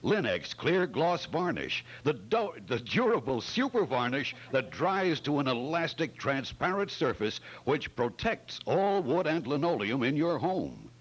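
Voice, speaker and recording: A man announcing in old-time radio style, with a theatrical, emphatic sponsor-pitch delivery. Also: very animated, a little slow, few pauses.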